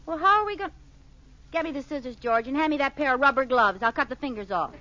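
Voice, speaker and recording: An actress playing a scatterbrained, fretful housewife in an old radio sitcom. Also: female voice, sits high, animated, fast, bright, forceful tone. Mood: flustered. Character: scatterbrained, fretful housewife minding a neighbor's baby. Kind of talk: 1940s-50s radio sitcom acting. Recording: noisy, muffled old radio recording.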